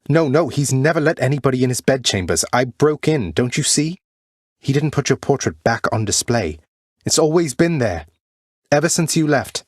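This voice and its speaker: A man playing an earnest, defiant young prince of the underworld in a video game, speaking in formal, old-fashioned wording with halting, heartfelt delivery. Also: lowish voice, no echo, studio quality. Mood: urgent, hopeful. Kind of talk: video game character dialogue, dramatic acting.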